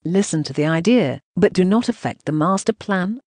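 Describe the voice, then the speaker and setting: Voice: mid-range voice, animated, normal speed, a little mellow, no echo. A synthetic text-to-speech voice reading translated news narration in a choppy way, speaking punctuation marks aloud.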